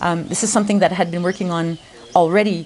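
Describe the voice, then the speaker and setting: A woman's voice: fairly low pitch, steady tone, racing, darkish tone, slight background noise. Earnest, reflective woman. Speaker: retreat participant. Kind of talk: documentary testimony.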